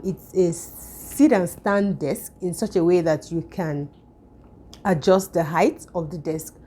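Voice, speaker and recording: A woman vlogger with a croaky, hoarse voice, recovering from illness, chatting at home in a relaxed way with frequent fillers and restarts. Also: fairly low pitch. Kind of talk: casual vlog chat.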